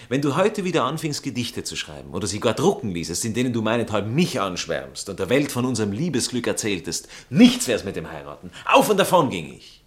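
An actor playing an Austrian baron, a man of narrow views and snobbish temper. His voice swings widely in pitch as he drawls with colloquial condescension, in the manner of satirical stage comedy. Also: close to an everyday voice. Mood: indignant. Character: snobbish, narrow-minded aristocratic baron, amused fiancé.

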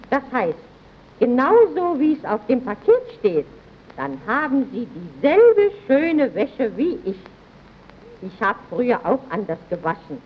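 Proud housewife giving tips, highish lilting voice, muffled vintage radio commercial. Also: female voice, breathy edge, full character voice. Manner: assured, didactic. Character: proud, chatty housewife and mother praising detergent. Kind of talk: scripted vintage radio advert acting. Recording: dull early radio recording.

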